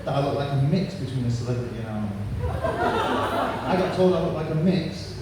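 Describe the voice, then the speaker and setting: A man's voice: mid-range voice, animated, fast. Man, 30s, Manchester accent, stand-up comic, punchy crude jokes, noisy reverberant pub stage. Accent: Manchester English. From England. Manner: punchy crude joke delivery. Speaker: stand-up comedian. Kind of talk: stand-up set. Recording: echoey room from far off, noisy.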